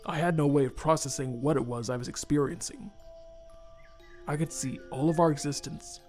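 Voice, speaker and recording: A man playing a remorseful, haunted skeleton scientist and father, speaking formally and haltingly with earnest care in a fan comic dub. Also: on the high side, a little mellow, soft background music, natural voice. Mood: haunted, reflective.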